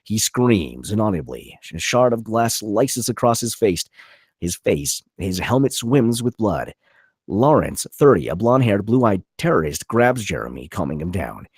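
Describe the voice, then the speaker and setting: Husky, animated male script narrator. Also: fairly low pitch, unhurried, no room sound, studio quality. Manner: vivid, punchy reading of action. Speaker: narrator reading stage directions. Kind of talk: dramatic script narration at a table read.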